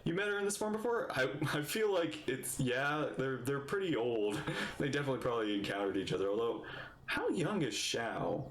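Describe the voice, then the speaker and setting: A man's voice: mid-range voice, sing-song, normal speed, echoey room. A man giving casual, amused, rambling reaction commentary as he streams a video game.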